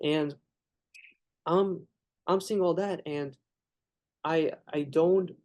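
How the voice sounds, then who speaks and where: A man's voice: fairly high pitch, darkish tone. A young man, the interviewer, debating casually with frequent restarts and fillers. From Ukraine. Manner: halting, many restarts and fillers.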